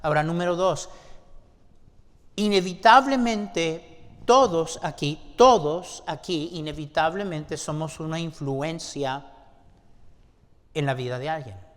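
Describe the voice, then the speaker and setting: A man preaching a sermon to his congregation, deliberate and asking them rhetorical questions. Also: a little high. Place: church sanctuary. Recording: long church-hall reverb.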